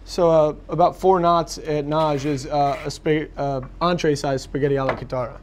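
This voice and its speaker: A man's voice: on the high side, steady tone, moderate pace, background noise. A man, a guest chef, demonstrating a recipe in a TV studio kitchen, explaining step by step with many fillers. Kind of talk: cooking demonstration.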